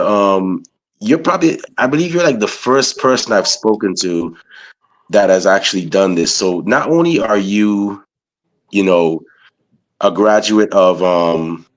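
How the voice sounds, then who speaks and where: A man from Guyana hosting an interview, asking loose, rambling questions padded with "you know" and "man". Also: low voice. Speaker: interviewer, podcast host. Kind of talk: casual interview chat.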